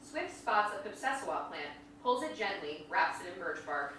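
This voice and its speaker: A woman's voice: lowish voice, sing-song, moderate pace, a bit bright. A woman reading stage directions as scripted narration at a staged play reading. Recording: very echoey hall, distant mic, faint noise.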